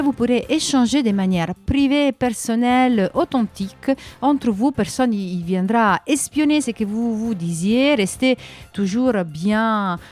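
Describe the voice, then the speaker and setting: Woman radio host, a bit high-pitched, friendly and loose, reads listener ads and news items. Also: a little mellow. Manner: friendly, conversational, with frequent hesitation fillers.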